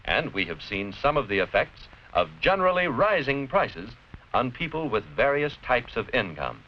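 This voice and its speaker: An American man giving scripted educational narration in a deliberate, clearly explanatory, didactic manner. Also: medium pitch, very animated. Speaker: narrator-presenter of an educational film. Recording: dull, noisy vintage educational film soundtrack.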